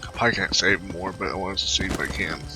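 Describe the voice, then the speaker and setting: A man with a low voice giving casual commentary over his own gameplay. He speaks in quick, short bursts, with loud game music and rain behind him. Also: fairly even intonation. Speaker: gamer playing through a role-playing game.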